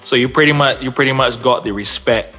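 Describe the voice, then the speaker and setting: A man's voice: medium pitch, a little fast, sharp tone, soft background music. A man interviewing a guest, enthusiastic and tripping over his own restarts. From Barbados. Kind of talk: casual interview.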